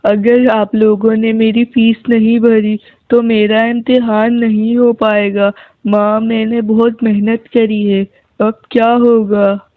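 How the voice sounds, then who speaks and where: A performer voicing an earnest, worried young schoolboy in a cartoon moral story, pleading in a deliberate way. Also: medium pitch, flat delivery, dry recording, natural voice. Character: earnest, worried young schoolboy son of a poor samosa seller. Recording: thin, phone-like, dry audio.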